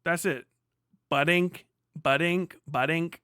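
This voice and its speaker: Bright, high-voiced man, casually profane. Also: steady tone, slow, a little hiss or hum. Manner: profane, slangy, rambling. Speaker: livestream host, former Marine. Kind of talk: casual reaction commentary.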